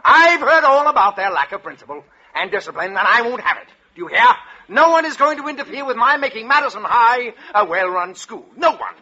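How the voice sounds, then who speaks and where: An actor playing a pompous, irritable middle-aged American school principal who barks indignantly in a 1940s radio comedy. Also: male voice, extremely high pitch, very animated, a little fast, sharp tone, heavily acted. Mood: pompous indignation. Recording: muffled vintage 1940s radio recording.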